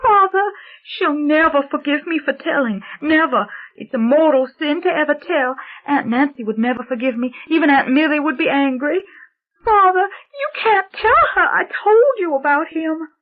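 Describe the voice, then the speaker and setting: A woman's voice: medium pitch, pitched above the performer's own voice, natural voice. A woman voicing a frightened young girl who pleads in an anguished, tearful rush. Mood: pleading. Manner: anguished rushing pleading with sobs. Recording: dull lo-fi recording.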